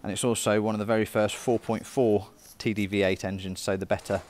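Low-pitched British car salesman. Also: level tone, brisk, darkish tone, a little hiss or hum. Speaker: car dealer presenter. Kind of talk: walkaround sales presentation, semi-casual commentary. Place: indoor car showroom.

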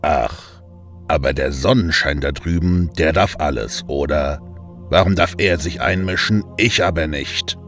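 A man voicing a sulking, bitter male god of the underworld, gruff and scornful, with music behind. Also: rumbling low, measured pace, a bit bright, pitched below the performer's own voice, exaggerated voice.

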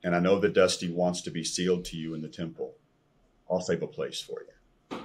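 An older American man around sixty, telling his personal testimony as a podcast guest in an earnest, halting way over a video call from a room at home. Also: low voice, little pitch movement, breathy edge. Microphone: video call, computer microphone at desk distance.

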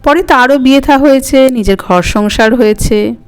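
A woman's voice: sits high, normal speed, clean tone, natural voice. A woman voicing an elderly grandmother who is coy, wistful and fondly scolding in a dramatic story reading. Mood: reminiscing. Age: older. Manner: coy, reminiscing, mildly snappish. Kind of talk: dramatic audiobook character reading. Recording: music under the voice.

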